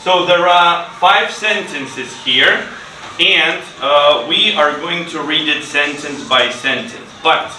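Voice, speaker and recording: A middle-aged man teaching an English class to children in simple, deliberate phrases, with repeated words and short instructions. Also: fairly high pitch, pushed and bright. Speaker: ESL teacher. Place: indoor school classroom. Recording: roomy, noisy classroom, distant mic.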